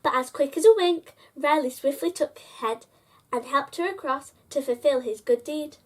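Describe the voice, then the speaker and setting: A woman's voice: sits high, moderate pace. A woman hosting a story time, reading a children's picture book aloud and addressing young listeners directly.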